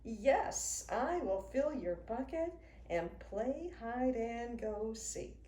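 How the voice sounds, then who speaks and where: A woman in her sixties, a children's author, reading a picture book aloud to young children in an expressive, sing-song way. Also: a little high, takes it slowly. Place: indoors in a home room with a wooden desk. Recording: roomy sound with faint noise.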